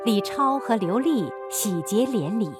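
A woman's voice: fairly high pitch, animated, unhurried, over music. A woman performing scripted narration for a Chinese radio drama in a solemn, uplifting storytelling manner. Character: solemn, uplifting female documentary-style narrator.

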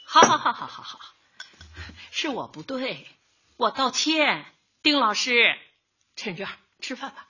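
A woman's voice: fairly high pitch, big pitch swings, pushed and bright, slightly rough, heavily acted. An actress playing a proud, blunt older neighborhood woman in a radio comedy. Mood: hurt, fed up. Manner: blunt, colloquial, quick to retort. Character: feisty older neighborhood woman, square-dance leader, proud and easily wronged. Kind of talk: radio drama acting, light comedy. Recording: slightly muffled, dull audio.

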